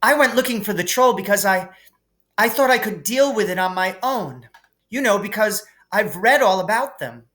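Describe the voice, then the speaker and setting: A man's voice: high voice, animated, moderate pace, a bit bright, voice pushed up. A man voicing an anxious, bookish young schoolgirl in a storybook, explaining earnestly and a little hurriedly. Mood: timid confessing.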